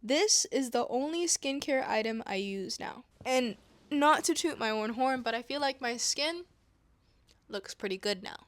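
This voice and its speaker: A young woman from Indonesia chatting calmly to camera, soft-spoken with whispery asides and self-mocking laughs. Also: high-pitched, a little slow, no room sound. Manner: playful, laughing at herself. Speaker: vlogger. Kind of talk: calm casual chat to camera. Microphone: wireless clip-on mic.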